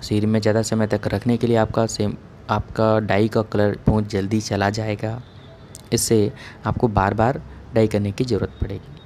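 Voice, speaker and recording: A man's voice: on the low side, level tone, normal speed, darkish tone, slight background noise. A man giving a plain, methodical educational explanation as a doctor, point by point. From India. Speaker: dermatologist giving hair-care advice.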